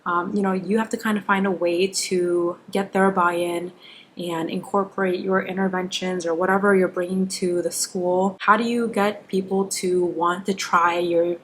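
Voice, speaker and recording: A woman's voice: fairly low pitch, level tone, moderate pace, fairly bright tone. Casual young woman, noisy recording. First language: Korean and English. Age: young adult. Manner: informal, candid, self-deprecating, frequent "like". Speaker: school psychologist, video creator. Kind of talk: casual advice vlog.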